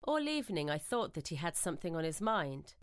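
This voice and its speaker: A woman reading scripted ghost-story narration in a measured, literary, suspenseful manner, as in a radio drama. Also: low voice, sing-song, no room sound, clean studio sound.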